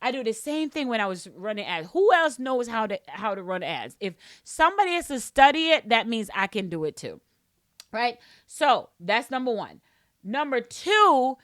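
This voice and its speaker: A woman's voice: on the high side, a bit bright, dry recording, clean studio sound. A woman with a strong Jamaican accent, a podcast host teaching business mindset in a casual, emphatic way, often repeating and restarting phrases.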